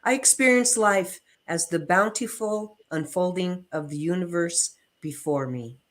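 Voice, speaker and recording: A woman with a low voice leads a spiritual reading. She takes her time, delivering the text slowly and deliberately in short, measured groups of words. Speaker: affirmation and reading leader. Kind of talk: scripted inspirational reading.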